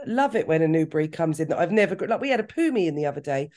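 A woman in her forties with a cold, sounding bunged up, chatting casually as a guest and adding quick asides. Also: low-pitched, very animated, a little mellow. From southern England. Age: 40s. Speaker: guest, salon owner. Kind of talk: casual interview chat.

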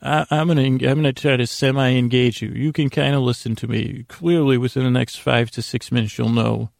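A man hosting a podcast with a meandering, halting bedtime monologue that keeps interrupting itself and wandering off. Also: medium pitch, fairly even intonation, vocal fry, dry recording, studio quality. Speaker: podcast host.

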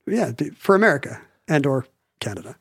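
A man's voice: medium pitch, very animated, fairly quick, gravelly, slightly rough, no room sound, clean studio sound. A man co-hosting a podcast in casual chat, wry and joking, often stopping to restart his sentences. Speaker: podcast co-host.

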